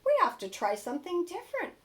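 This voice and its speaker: A woman acts out timid but defiant little wolves from a storybook. The voice is young, high and animated, with emphatic, chanting phrases. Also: quick pace, heavily acted. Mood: earnest, pleading. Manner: emphatic, chanting refusals and pleas. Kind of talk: read-aloud storybook character.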